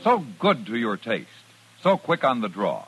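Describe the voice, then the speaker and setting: A man with an American accent announces in classic 1950s radio style. His mid-pitched voice swings dramatically up and down. Music plays clearly behind him. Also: wildly swooping pitch, unhurried. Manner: dramatic, declamatory.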